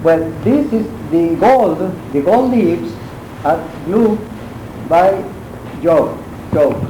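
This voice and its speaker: Slow, halting man with a Mexican accent. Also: fairly high pitch, lively intonation, dark tone. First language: Spanish. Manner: halting, searching for English words with many pauses. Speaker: guest painter lecturing. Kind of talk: art lecture. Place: university lecture room. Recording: echoey classroom with noise.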